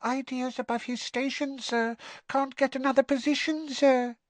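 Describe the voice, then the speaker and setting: A man voicing a tiny, timid female house elf servant with a quivering squeak and deferential, broken grammar. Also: moderate pace, sharp tone, no room sound. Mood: worried. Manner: broken grammar, deferential, constantly says sir.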